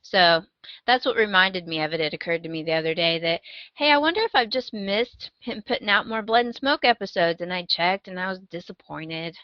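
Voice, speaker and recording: Lowish-voiced woman podcasting, dry recording. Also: lively intonation, brisk, no room sound, muffled recording. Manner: informal, repeats words. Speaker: podcast co-host. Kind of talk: casual podcast chat.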